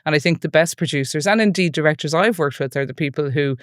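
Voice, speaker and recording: A woman's voice: low-pitched, fast, no echo, studio quality. Chatty Irish woman telling stories. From west of Ireland. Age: 40s. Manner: chatty storytelling, many "like" and "you know" asides. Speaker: film producer, interview guest. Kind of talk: relaxed podcast interview.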